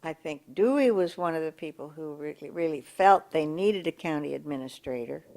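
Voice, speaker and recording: An older woman with a big voice, recalling local government history in an oral history interview, pausing and restarting as she searches for words. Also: sits low, expressive, gravelly, darkish tone. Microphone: table mic in front of a coffee pot.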